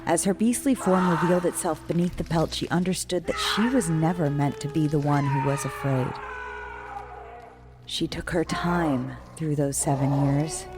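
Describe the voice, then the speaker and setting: A woman narrating a scripted dark folk tale as a storyteller, in a measured, solemn and dramatic way. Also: sits low, music in the background. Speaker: storyteller, guest writer reading own story.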